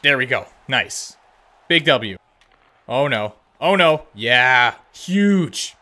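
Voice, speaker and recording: A man's voice: medium pitch, lively intonation, extremely slow, pushed and bright. A young man giving casual, slangy gameplay commentary on a hockey video game, with playful, self-deprecating asides. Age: young adult. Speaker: gaming video host playing a hockey game. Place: indoors at a home gaming setup. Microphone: close desk microphone, wearing headset.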